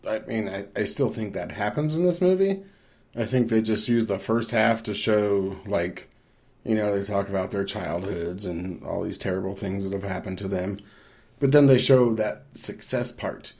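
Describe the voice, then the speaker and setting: Man, podcast co-host, slightly low pitch, casual discussion, measured, thinking aloud. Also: a little mellow.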